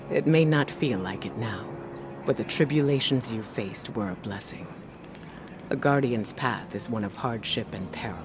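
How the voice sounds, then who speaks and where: An actor playing a solemn, encouraging mentor in a video game, speaking in a measured, formal manner. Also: male voice, mid-range voice, lightly acted. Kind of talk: scripted game dialogue. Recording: music behind the voice.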